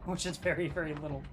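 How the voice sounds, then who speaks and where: A young man chatting casually and swearing freely, then reading a game sourcebook aloud with small stumbles. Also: on the high side. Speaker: podcast co-host.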